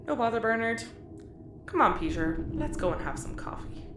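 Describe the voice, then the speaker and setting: Exasperated capable spaceship woman, wry, highish lively voice, audio comedy. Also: moderate pace. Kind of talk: sci-fi audio comedy acting. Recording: roomy echo, faint background noise.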